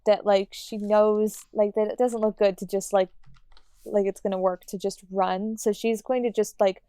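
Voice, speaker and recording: A woman's voice: medium pitch, little pitch movement, moderate pace, dark tone, clean tone, no echo, studio quality. A woman playing a tabletop game, chatting casually as she describes what her character does.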